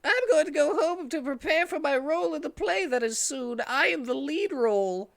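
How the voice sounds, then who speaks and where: A man voicing a small, earnest young bee with a squeaky voice in a story reading. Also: expressive, moderate pace, creaky, a bit bright, exaggerated voice. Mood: grateful, earnest. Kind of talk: dramatic reading of fan fiction.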